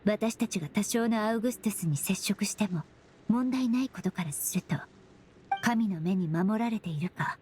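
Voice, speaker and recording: A woman's voice: medium pitch, a little mellow, close to an everyday voice. Composed young woman investigator, voiced by an actress, faint background noise. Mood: composed, firm. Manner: polite, measured, explanatory. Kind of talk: Japanese game dub acting.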